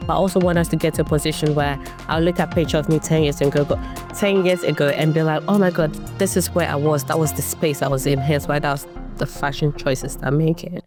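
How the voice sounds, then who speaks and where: A woman in her thirties from Ghana, a guest in a casual interview, talking in a reflective way with sudden jumps in pitch. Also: steady tone, fast talker, over music.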